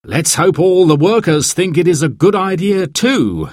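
A man voicing a concerned, earnest uncle in a children's story, with a measured delivery. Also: high-pitched, very animated, darkish tone, no room sound, studio quality, voice pushed up, full character voice. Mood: hopeful.

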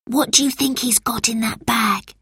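A woman voicing an eager child plotting from a hiding place in a children's story, with excited urgency. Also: fairly high pitch, moderate pace, breathy edge, dry recording, studio quality, pitched above the performer's own voice, heavily acted. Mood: eager, secretive.

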